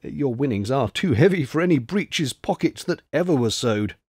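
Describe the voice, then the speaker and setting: A man voicing a boisterous, crafty old French ex-soldier in an audiobook, all exclamations and flattery. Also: mid-range voice, moderate pace, breathy edge, no room sound, clean studio sound. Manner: blustering, exclamatory, drops into confidential tones. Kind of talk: audiobook character voice.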